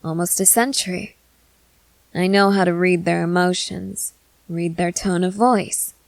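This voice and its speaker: A woman voicing a protective, controlling century-old female vampire in measured, formal phrases for an ASMR roleplay. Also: fairly low pitch, steady tone, creaky, no echo. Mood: assured. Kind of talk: scripted ASMR audio roleplay.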